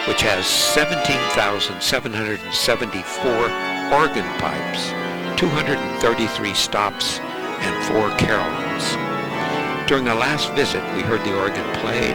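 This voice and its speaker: A man narrating a home travel video in a measured, informative way. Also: fairly low pitch, poor audio.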